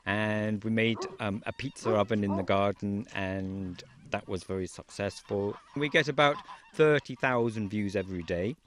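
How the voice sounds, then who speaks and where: A man's voice: fairly low pitch, moderate pace, a bit bright. A man who grew up in Wales, talking about his farm in an easygoing way with dry humour during an outdoor interview. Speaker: interviewee, farmer and beekeeper. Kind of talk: relaxed outdoor interview chat. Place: outdoors on a farm, in a horse-drawn carriage. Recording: faint outdoor noise, geese nearby.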